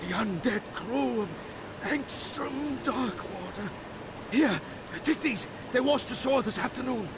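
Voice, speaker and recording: An actor playing an alarmed villager under attack in a video game. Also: male voice, very high-pitched, expressive, takes it slowly, exaggerated voice. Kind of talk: video game character acting.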